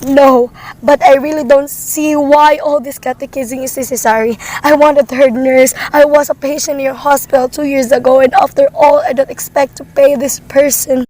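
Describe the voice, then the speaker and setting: High-pitched, fretful invalid wife played by a woman. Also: steady tone, moderate pace, few pauses, darkish tone, natural voice, low-quality recording. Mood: anxious, indignant. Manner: imperious, fretful, melodramatic. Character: nervous, demanding invalid wife, terrified alone at home. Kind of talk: radio play acting.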